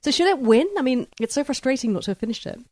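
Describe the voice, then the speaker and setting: A woman's voice: sits high, lively intonation, rapid-fire, darkish tone, no room sound. A woman hosting a podcast, talking through and introducing books in a discussion. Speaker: book club podcast host.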